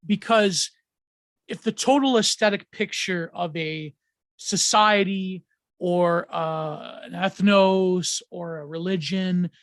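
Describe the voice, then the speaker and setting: Podcast guest: high-pitched man, rambling with fillers, dry close sound. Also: takes it slowly, a bit bright, no echo. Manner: rambling, many ums and restarts, laughs often. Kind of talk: casual podcast chat.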